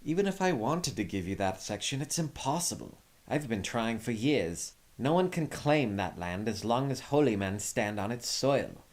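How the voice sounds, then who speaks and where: Smug, animated scheming prince, played by a man. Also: medium pitch, normal speed, hardly any pauses, lightly acted. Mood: exasperated. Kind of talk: dramatized audio story acting.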